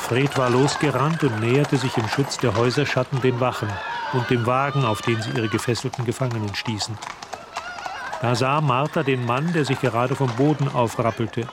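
A man narrating a scripted radio drama as a measured, observant storyteller. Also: mid-range voice, steady tone, crowd noise, noisy background, close to an everyday voice. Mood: tense, measured.

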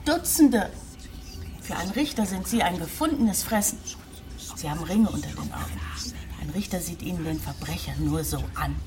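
Radio drama role: wary female innkeeper, terse and superstitious, lowish voice actress. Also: animated, moderate pace, over music, close to an everyday voice. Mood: ominous, knowing. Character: wary hotel keeper, blunt and superstitious about judges.

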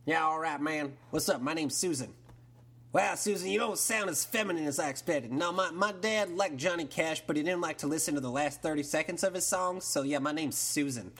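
Man playing a slow-witted Texan caller; husky, rambling drawl. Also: on the high side, a bit bright. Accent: Texan, put on. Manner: rambling, full of fillers, drawling. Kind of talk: improvised call-in sketch.

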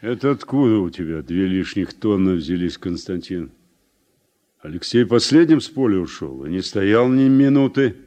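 An actor playing a stubborn, blunt older harvester driver in a 1980s radio drama. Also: male voice, deep voice, lively intonation, moderate pace, warm tone. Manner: blunt, reproachful.

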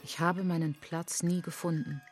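An actress playing the hushed inner voice of a lonely woman in a radio play, speaking in subdued, terse phrases. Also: fairly low pitch, flat delivery, moderate pace, slightly rough, dry recording, soft background music. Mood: subdued, sorrowful. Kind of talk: radio play monologue, scripted.

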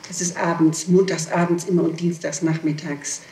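A woman's voice: fairly low pitch, steady tone, normal speed, darkish tone. A woman being questioned in a recorded interrogation, recalling things hesitantly, interjecting and correcting herself. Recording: echoey 1960s archive tape, some noise.

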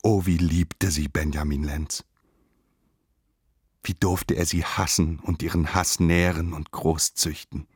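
Deep male radio drama narrator, slow and declamatory, super dry close sound. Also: steady tone, slightly rough, dry recording. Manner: measured, declamatory, emphatic phrasing.

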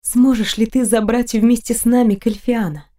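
A woman's voice: fairly high pitch, fairly even intonation, normal speed, mellow, natural voice. A woman voicing an earnest young fairy woman in an audiobook, sorrowful yet resolute, with an emotional, pleading manner. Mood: tender. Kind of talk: audiobook character voice.

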